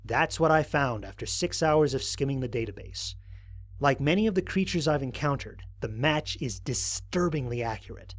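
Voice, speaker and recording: Audio drama lead: male actor as a wary, reflective explorer, mid-pitched, measured scripted log narration. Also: lively intonation, lightly acted. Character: thoughtful, wary male space explorer recording mission logs. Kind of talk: scripted first-person mission log narration.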